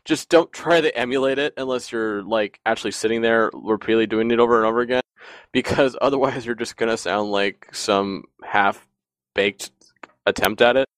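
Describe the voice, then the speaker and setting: A man's voice: mid-range voice, moderate pace, dry recording. A man in casual podcast chat, telling long rambling stories with frequent laughs. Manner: rambling, animated storyteller who often laughs.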